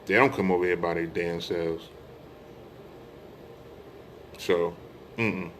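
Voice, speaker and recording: A man's voice: sits low, steady tone, moderate pace, a bit bright, a little hiss or hum. A middle-aged American man giving an informal religious monologue, speaking emphatically, repeating phrases and posing rhetorical questions. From United States. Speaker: religious speaker addressing online followers.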